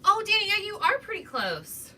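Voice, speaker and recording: A woman in her thirties with a soft, relaxing Midwestern voice, chatting casually with viewers during a crafting livestream. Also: very high voice, wildly swooping pitch, moderate pace. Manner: chatty, greets viewers while working. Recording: distant mic with background noise.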